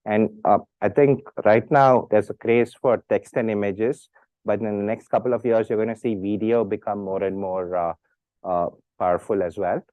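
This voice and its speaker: Man, halting speech, muffled audio. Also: fairly low pitch, steady tone, no room sound. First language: Tamil. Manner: hesitant, many fillers and restarts. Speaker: speaker at an institute talk. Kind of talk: talk with audience questions.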